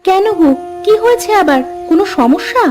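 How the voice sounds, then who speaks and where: A woman's voice: very high-pitched, expressive, a little fast, darkish tone, soft background music. A woman voicing a practical, brusque village mother in a cartoon fairy tale. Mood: concerned.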